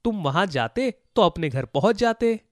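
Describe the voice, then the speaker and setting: Man playing a high, animated kind boy. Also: normal speed, no echo, clean studio sound. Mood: caring, determined. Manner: earnest, animated. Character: kind, brave poor boy, eager to help. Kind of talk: Hindi children's moral story voice acting.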